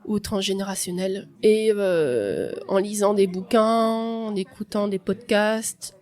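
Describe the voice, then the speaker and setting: A young woman from France giving a reflective, hesitant personal testimony on a podcast, with many pauses and restarts. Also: medium pitch, lively intonation, darkish tone. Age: young adult. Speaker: podcast guest.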